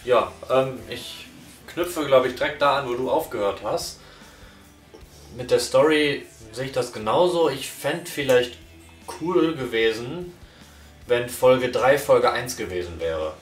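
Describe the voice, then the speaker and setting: A young man reviewing a radio drama in casual chat, calm and reasoned, sitting on a sofa. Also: medium pitch, unhurried. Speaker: podcast reviewer. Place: living room, sitting on a sofa. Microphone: far camera. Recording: roomy, far from the mic, faint music.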